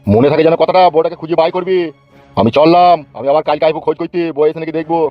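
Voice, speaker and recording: An actor voicing a bullying, foul-mouthed cartoon politician in rural West Bengal Bengali, hectoring and boastful. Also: male voice, fairly high pitch, racing, highs cut off, faint music behind, natural voice. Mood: boastful, menacing. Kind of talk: comic cartoon dubbing.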